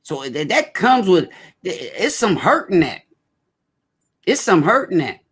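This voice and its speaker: A man's voice: sits high, sing-song, slow pace, a bit bright, husky. A man hosting a casual talk show, speaking emphatically and often repeating his points. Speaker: host.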